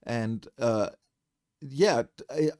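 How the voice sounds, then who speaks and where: A man's voice: mid-range voice, extremely slow, a little mellow, no room sound, studio quality. A man hosting a podcast, chatting reflectively and casually with frequent fillers. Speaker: podcast host. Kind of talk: casual conversational podcast chat.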